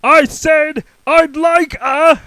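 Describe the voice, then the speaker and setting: A man voicing a loud, booming cartoon character who bellows every line at full volume. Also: steady tone, extremely slow, no echo, full character voice.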